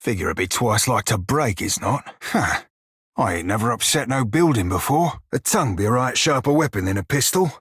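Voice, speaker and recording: An actor voicing a wry, foul-mouthed pirate shiphunter in a video game, speaking a colloquial sailor's dialect with a gritty, husky voice. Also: male voice, mid-range voice, lively intonation, normal speed, fairly bright tone, no room sound, clean studio sound. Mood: wry, casual. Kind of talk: video game character dialogue.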